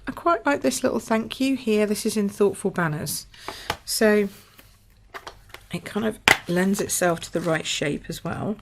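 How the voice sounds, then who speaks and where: A woman from the UK, slightly croaky, demonstrating a papercraft project at her table in a chatty, think-aloud way. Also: medium pitch, measured pace, background noise. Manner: chatty, thinks aloud with fillers and small apologies. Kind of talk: casual craft tutorial. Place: indoors at a craft table.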